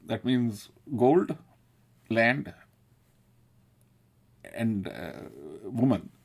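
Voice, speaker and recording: A man's voice: mid-range voice, lively intonation, very slow, vocal fry, breathy edge. A man from South India, talking in a casual, digressive monologue, laughing often and swearing. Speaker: video essayist. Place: London.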